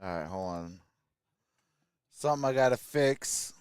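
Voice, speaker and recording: A man's voice: fairly low pitch, expressive, a little slow, smooth, no echo, studio quality. An American man hosting a sports livestream, chatting casually and informally to his viewers.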